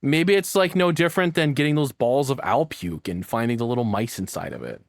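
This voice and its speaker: Man, medium pitch, casual reaction streamer, rambling, jokey, sweary, dry recording. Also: animated, a little fast, creaky, dry recording. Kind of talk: casual off-the-cuff reaction commentary.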